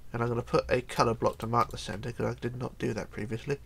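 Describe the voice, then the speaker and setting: Guy with a cold doing a game build tutorial at his desk, gravelly and hoarse, keeps counting blocks under his breath. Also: medium pitch, flat delivery, quick pace. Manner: chatty, counts blocks aloud, often trails into mumbling. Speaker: tutorial host. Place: home computer desk.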